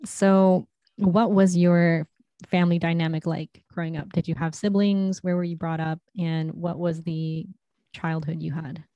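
A woman's voice: lowish voice, level tone, unhurried, no echo, muffled recording. A woman interviewing a guest, asking probing follow-up questions, often several in a row.

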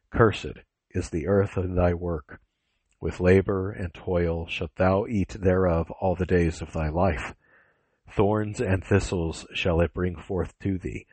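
A stern, commanding God voiced by a man in a scripture drama. The voice is deep and slightly husky. Each sentence is solemn, deliberate and authoritative, recorded dry. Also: darkish tone, no echo, exaggerated voice, low-quality recording.

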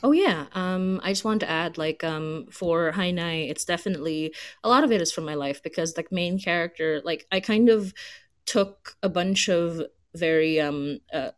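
A woman's voice: on the low side, normal speed, no echo. A woman from the Philippines speaking casually as a writer on a panel, informal and thinking aloud. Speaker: panel guest, audio drama writer.

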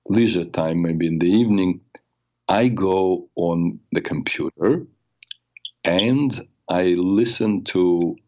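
An older man hosting a radio talk show, raspy from a cold, telling personal stories in a rambling way with many fillers. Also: sits low, a little mellow, lo-fi sound. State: has a cold. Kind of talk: solo talk show monologue.

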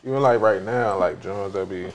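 A man's voice: on the low side, normal speed, over a noisy crowd. A man who is a guest on a podcast, mumbling and trailing off with lots of fillers. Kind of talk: casual podcast chat.

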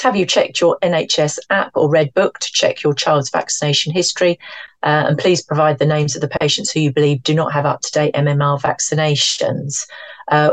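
A woman's voice: sits low, dry recording. A woman with an English accent giving an online briefing to practice managers over a video call, with a hesitant delivery full of fillers. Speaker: practice support adviser.

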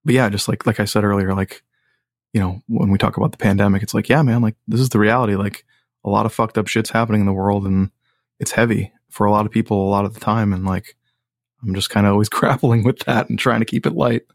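A man with a low voice, a musician guest talking in a laid-back, rambling way with many fillers. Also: fairly even intonation, quick pace, dry recording, clean studio sound. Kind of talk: casual podcast chat.

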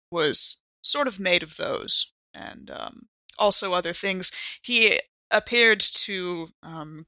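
Female tabletop player, bright voice, wry casual role-play, dry close recording. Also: lowish voice, dry recording.

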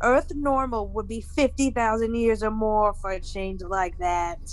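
Unhurried, blunt woman geologist played by an actress. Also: medium pitch, steady tone, natural voice. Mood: exasperated, puzzled. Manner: blunt, informal. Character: plain-spoken, puzzled female field geologist. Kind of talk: classic radio science fiction drama. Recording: noisy old radio recording.

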